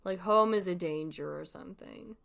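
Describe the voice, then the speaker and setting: A woman's voice: lowish voice, moderate pace, creaky, fairly bright tone. A woman streamer giving casual livestream commentary in an informal, rambling, giggly way.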